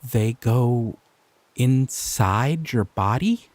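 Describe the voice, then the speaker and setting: Lonely gentle slime guy played by a man, talks slow and hesitant, voice bouncing up and down, dry close sound. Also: mid-range voice, animated, no room sound. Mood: gentle, curious. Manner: soft-spoken, hesitant, trailing off. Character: shy gentle male slime creature, lonely and flustered. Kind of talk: audio roleplay.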